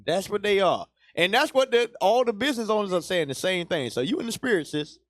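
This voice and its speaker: A man hosting a call-in radio show, talking in a lively, emphatic way with callers. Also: sits high, a little fast.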